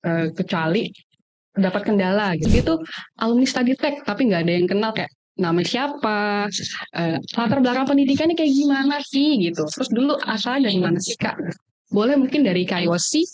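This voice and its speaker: A woman's voice: a little high, lively intonation, brisk, a little mellow. A woman with an Indonesian accent hosting a webinar in a casual, chatty, slangy way, heard over an online call. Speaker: webinar host. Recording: dull, lo-fi webinar audio.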